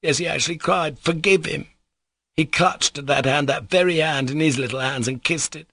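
A male narrator voices a ruined old-story army captain, a father who mocks himself. He talks in a raised, agitated pitch, fawning and theatrical, with a dry sound around him. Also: a little fast, pushed and bright, dry recording. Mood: confiding, agitated.

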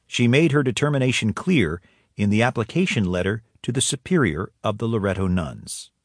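A man narrating a documentary in a measured, scripted style. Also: on the low side, expressive, dry recording, clean studio sound.

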